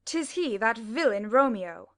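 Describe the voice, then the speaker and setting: Woman playing a hot-tempered young noble, highish voice. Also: animated, measured pace, a bit bright. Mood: indignant. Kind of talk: theatrical Shakespearean verse acting.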